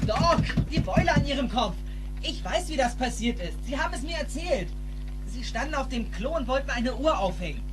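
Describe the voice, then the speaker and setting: A man's voice: very high voice, animated, moderate pace, background noise. A man playing an earnest teenage boy from the future in an audio drama, urgent and pleading. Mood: insistent. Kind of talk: audio drama film dialogue.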